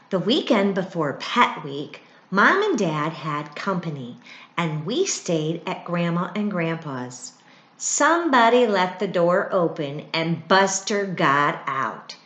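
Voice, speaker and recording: A middle-aged woman reads a children's book aloud like a teacher, expressively, pausing for asides and questions to young listeners. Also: fairly low pitch. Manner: expressive, with dramatic gasps and thoughtful hums. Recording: roomy, echoey indoor sound.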